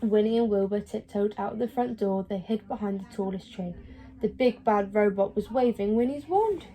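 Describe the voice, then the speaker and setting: A woman reading a children's story aloud in an expressive storytelling style. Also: mid-range voice, normal speed, low-quality recording. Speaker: storybook reader.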